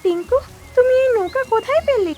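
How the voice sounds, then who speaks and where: A tiny, very high-voiced mother bird from a cartoon fable. She speaks expressively with an anxious, scolding edge. Soft music plays underneath. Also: lively intonation, brisk. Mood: glad. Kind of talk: animated moral story dialogue.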